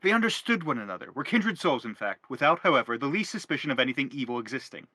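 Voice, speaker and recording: Man acting anguished middle-aged father, theatrical, wordy, insistent, sharp bright tone, dry close sound. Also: medium pitch, big pitch swings, quick pace, no room sound. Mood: pleading, self-justifying. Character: anguished, self-justifying middle-aged father, pompous and exasperated. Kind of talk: theatrical stage drama acting.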